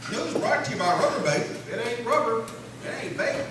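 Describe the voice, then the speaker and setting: Man playing a high-voiced folksy small-town newsreader, echoey stage. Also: expressive, a little fast. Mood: upbeat. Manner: announcer-like delivery with folksy asides. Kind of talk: comic scripted radio news sketch. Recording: roomy echoing stage, distant mic, noisy.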